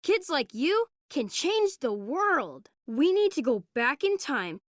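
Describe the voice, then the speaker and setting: A confident young adventurer from a children's cartoon, earnest and inviting. The voice is high and lively, recorded dry and close. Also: female voice, slow, no echo, full character voice. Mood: eager, inviting. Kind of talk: children's cartoon and game voice lines.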